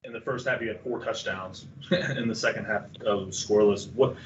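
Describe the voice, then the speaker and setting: A male reporter with a slightly low voice asks direct questions at a press conference. The audio is lo-fi and dull, with a faint hiss behind him. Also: normal speed.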